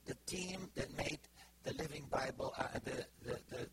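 Bulgarian-accented preacher, halting and hesitant. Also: male voice, medium pitch, noisy background. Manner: halting, many fillers and restarts. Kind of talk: informal sermon to a congregation.

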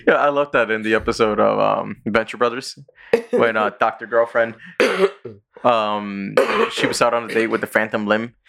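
A man hosting a podcast in casual chat, rambling through stories with quick asides. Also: fairly low pitch, steady tone, vocal fry, fairly bright tone. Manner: rambling storyteller, sings and does quick voices. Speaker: podcast host.

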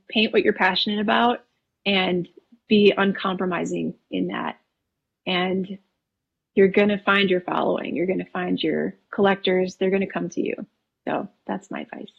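Husky-voiced American woman painter, chatty. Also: medium pitch, lo-fi sound. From Wisconsin, USA. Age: 30s. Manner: relaxed, chatty, laughs easily, frequent ums. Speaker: painter guest. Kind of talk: casual interview conversation.

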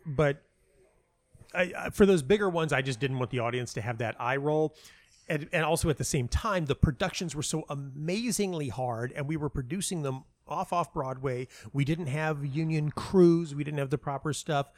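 A man's voice: a little high, moderate pace, no room sound. A man giving casual interview answers as a playwright, rambling and often interrupting himself as he tells stories. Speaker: playwright and audio dramatist being interviewed.

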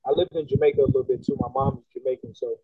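A man's voice: mid-range voice, very fast, breathy edge, no echo. A young man from the Bahamas, a singer and songwriter, chatting casually as an interview guest, laid-back and slangy. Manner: laid-back, slangy, animated when making a point. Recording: tinny phone-like line, dry.

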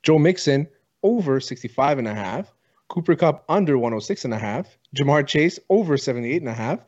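A man co-hosts a loose sports podcast, blunt and casually profane. His voice is mid-pitched. The recording sounds dull and lo-fi. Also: lively intonation, fairly quick, a little mellow.

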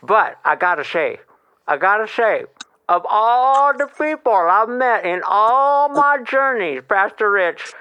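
A man voicing a goofy, excitable man with a quirky accent who gushes, stammers and jumps into squealing high notes. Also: slow pace, smooth, no echo, lo-fi sound, exaggerated voice. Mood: gushing. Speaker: show's honorary member.

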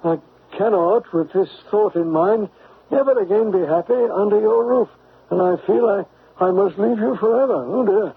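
An actor playing a bumbling, good-hearted detective's companion, hesitant, muttering and puzzled, in an old-time radio drama. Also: male voice, high-pitched, moderate pace. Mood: concerned. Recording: tinny, noisy old radio broadcast.

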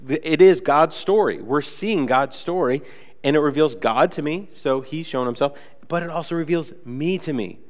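A man's voice: medium pitch, long reverb tail. A young man preaching a casual, conversational sermon in a church, a bit nasal from a cold and full of chatty fillers. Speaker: pastor. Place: church sanctuary.

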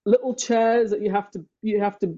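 A woman from England with an English accent, a podcast guest talking things through slowly and thoughtfully with metaphors. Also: mid-range voice, fairly even intonation, dark tone, muffled recording. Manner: slow, thoughtful, uses metaphors. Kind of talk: reflective conversation.

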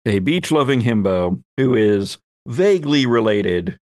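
A man's voice: lowish voice, lively intonation, unhurried, a little mellow, dry recording, studio quality. A man hosting a tabletop roleplaying game as game master, narrating casually in an easygoing, chatty way.

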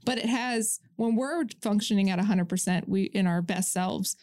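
A woman chatting casually as an interview guest, telling things in an animated way with imagined dialogue. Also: medium pitch, normal speed. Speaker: podcast guest, entrepreneur.

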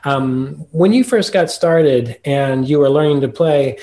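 A man's voice: on the high side, a little slow, mellow. A man hosting a podcast, interviewing in a casual, conversational way. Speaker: podcast host.

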